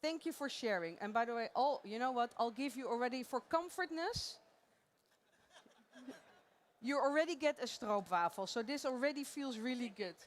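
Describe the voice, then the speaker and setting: A woman with a Dutch accent gives a live talk in a conference theater. She is playful and chatty and laughs often. Her voice sits a little higher than most women's. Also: moderate pace. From Netherlands. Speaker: conference presenter.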